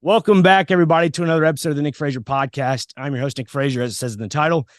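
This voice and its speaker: A man's voice: a little high, rapid-fire, dry recording, studio quality. An American man hosting a podcast, chatting in a casual, rambling, informal way as he interviews. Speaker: podcast host. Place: podcast set.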